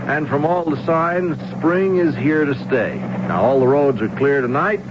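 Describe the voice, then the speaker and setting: High-pitched, breezy traffic reporter played by a man. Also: measured pace, lightly acted. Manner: chatty, folksy announcing. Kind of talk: 1970s radio traffic report acting. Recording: muffled old radio recording, vehicle noise.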